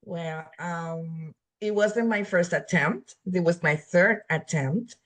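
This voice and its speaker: A woman from Puerto Rico with a Spanish accent, a native Spanish speaker, talks slowly in a lowish voice. She tells her story earnestly and halts often to restart her sentences. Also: fairly bright tone. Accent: Puerto Rican Spanish. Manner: earnest, halting with frequent restarts. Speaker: guest, exam passer. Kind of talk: casual interview storytelling.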